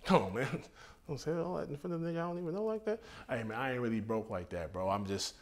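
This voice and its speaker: A man's voice: mid-range voice, animated, fast, natural voice. An American man in his thirties playing a laid-back, brazen late-night hookup guy in a comedy sketch, talking in street slang. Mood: indignant. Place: furnished indoor room, filmed sketch.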